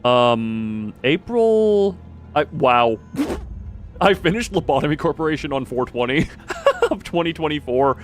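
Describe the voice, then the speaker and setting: A man's voice: on the high side, sing-song, unhurried. Rambling man streaming over game music. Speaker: livestream host playing a game. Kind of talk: casual livestream commentary, reading game dialogue aloud.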